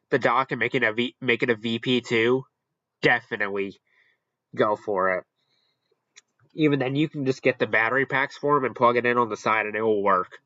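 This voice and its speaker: A man hosting a casual, unscripted ranking commentary, halting and rambling as he corrects himself along the way. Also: mid-range voice, bright, forceful tone, no echo, muffled recording. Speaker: video host ranking products.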